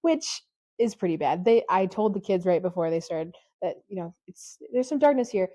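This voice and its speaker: A woman who teaches high school, talking casually about her classes in a mellow voice. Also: expressive, normal speed, no echo.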